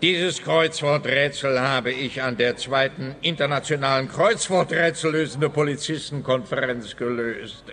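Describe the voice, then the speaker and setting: Bright, pompous policeman. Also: on the high side. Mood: proud. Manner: pompous, literal-minded. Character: self-important, stolid male police officer, fond of crosswords. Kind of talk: radio crime comedy acting.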